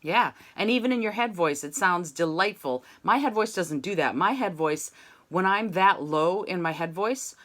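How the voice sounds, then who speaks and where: A woman's voice: fairly low pitch, lively intonation, moderate pace, a bit bright. A woman teaching an online singing lesson, coaching students and demonstrating sung scales on "ma". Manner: encouraging, demonstrates sung vowels.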